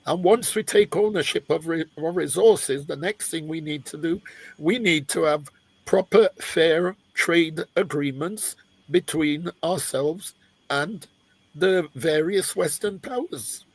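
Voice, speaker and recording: A man's voice: on the high side, takes it slowly, slightly rough, no room sound, poor audio. A man originally from Jamaica speaking on a panel, hesitant, often searching for words and restarting. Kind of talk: panel discussion.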